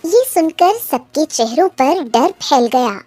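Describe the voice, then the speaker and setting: High-pitched, unhurried woman storyteller. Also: sing-song, dark tone, no echo, faint music behind. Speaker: narrator. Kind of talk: scripted children's story narration.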